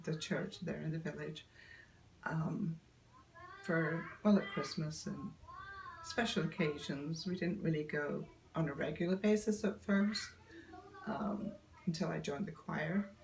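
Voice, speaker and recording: An older woman, telling stories in a relaxed interview, often laughing and pausing with ums. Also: low voice, vocal fry, background noise. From Yorkshire, England. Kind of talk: casual storytelling interview.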